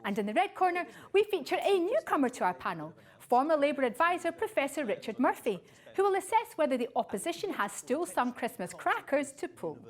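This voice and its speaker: A woman presenter with a high-pitched voice that moves up and down a great deal. She reads scripted links and introductions as the host of a talk show. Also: big pitch swings, fairly quick, hardly any pauses. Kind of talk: scripted presenting to camera, reading introductions and messages.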